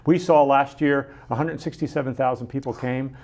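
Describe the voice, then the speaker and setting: A man's voice: medium pitch, steady tone, normal speed, a little mellow. A middle-aged man, guest on a studio interview show, talking enthusiastically about his science center with many ums and restarts, on a lapel mic. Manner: enthusiastic, gestures a lot, frequent ums and restarts. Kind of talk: casual interview chat.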